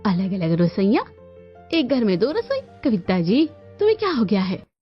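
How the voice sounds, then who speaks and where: A woman voicing a nosy, gossiping neighbour in an animated family drama, full of exclamations and questions. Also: mid-range voice, normal speed, muffled recording, soft background music. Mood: nosy, surprised. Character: nosy, gossiping neighbourhood women, surprised and curious.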